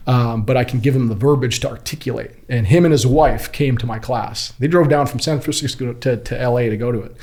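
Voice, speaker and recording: A man telling anecdotes casually as an interview guest, in informal, slangy phrasing and acting out what people said. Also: mid-range voice. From Kuwait. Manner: animated retelling with acted-out quotes, informal slangy phrasing. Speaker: podcast guest, body-language instructor and former US Marine.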